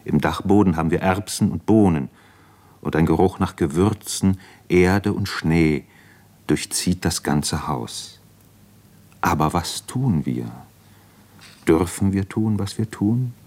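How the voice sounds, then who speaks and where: Deep-voiced, earnest, reflective doctor. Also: male voice, slow, long pauses, a little mellow, exaggerated voice. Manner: earnest, educated, contemplative. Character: weary, proud, reflective male army doctor and writer. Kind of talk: 1950s German radio play acting.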